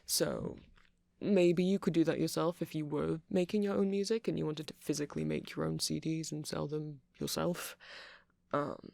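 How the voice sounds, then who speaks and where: Guy playing a geeky rambling vampire, soft gravelly asmr voice, tons of vocal fry, really dry close sound. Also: on the high side, no echo. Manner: rambling, hesitant, self-conscious geeky explaining. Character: nerdy, rambling, friendly male vampire shopkeeper. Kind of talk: ASMR roleplay, explaining technology.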